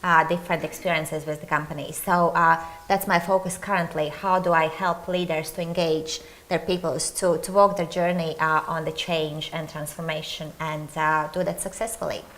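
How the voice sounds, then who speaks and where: A woman with a Latvian accent introducing herself on a conference panel, measured and listing her points one by one. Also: sits low, level tone, a bit bright.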